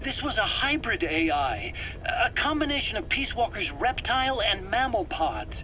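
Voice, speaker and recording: A man's voice: high-pitched, very animated, normal speed, bright, forceful tone, dull audio, exaggerated voice. A man playing a defensive, rambling weapons scientist under interrogation in a video game, hesitant and prone to stammering as he explains. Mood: earnest explaining. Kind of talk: dramatic video game voice acting. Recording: faint background noise on cassette recording.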